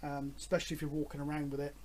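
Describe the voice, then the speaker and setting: A man in his fifties with a fairly high voice chats to his viewers about his hobby. His delivery is rambling and hesitant, with an enthusiastic, conversational feel. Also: monotone, racing, darkish tone, a little hiss or hum. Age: 50s. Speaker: host of a radio hobby vlog. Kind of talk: casual, rambling chat to camera.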